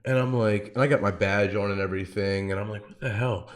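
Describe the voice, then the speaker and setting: A man with a fairly low voice hosts a podcast. He talks informally, in loose slangy storytelling that leans often on "like". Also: fairly even intonation, quick pace. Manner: informal, slangy, frequent 'like'. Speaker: podcast host. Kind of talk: casual chat, personal storytelling.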